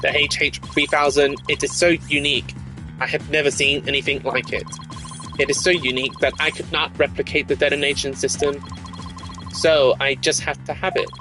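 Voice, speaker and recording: A sinister game villain, acted out by a man, slightly high in pitch and coldly deliberate. He is a smug bomb expert who makes calm threats while music plays clearly behind him. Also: close to an everyday voice, poor audio. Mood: smug menace.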